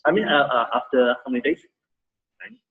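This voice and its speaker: A man in his thirties with a Malaysian accent, sharing his story as a volunteer in a halting way with many restarts. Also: medium pitch, expressive, husky, phone-quality sound. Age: 30s. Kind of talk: casual testimony sharing.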